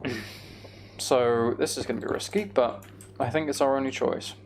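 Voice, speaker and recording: A man's voice: medium pitch, steady tone, moderate pace, a little hiss or hum. A British man giving casual video game commentary, thinking aloud as he plays. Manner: thinking aloud, mild swearing. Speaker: gamer narrating own play.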